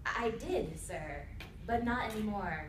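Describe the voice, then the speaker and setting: A woman's voice: medium pitch, expressive, takes it slowly. A woman acting an earnest, stubborn young servant of a sorcerer in staged radio theatre dialogue. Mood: earnest pleading. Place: indoor convention performance before microphones. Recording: echoey live room, distant mic, faint noise.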